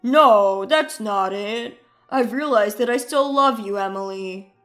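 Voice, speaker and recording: A woman's voice: medium pitch, takes it slowly, a bit bright, soft background music. Woman voicing a needy, pleading ex-husband. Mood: wheedling. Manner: pleading, plaintive. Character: needy, wheedling male ex-husband. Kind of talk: dramatized storytelling dialogue.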